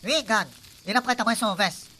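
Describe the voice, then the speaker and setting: A bright, forceful, high-pitched Réunion Creole guy acted by a woman, brash and none too clever. He blusters and clowns through a radio comedy, with clear background noise around the voice. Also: fairly quick. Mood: clowning. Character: brash, dim-witted Réunion island man, teasing buddy. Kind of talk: comic radio serial acting.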